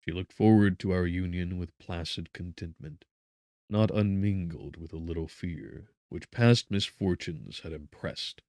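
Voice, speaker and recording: A man narrating a literary audiobook in a solemn, theatrical manner, with deliberate, emphatic phrasing. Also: sits low, steady tone, darkish tone, dry recording.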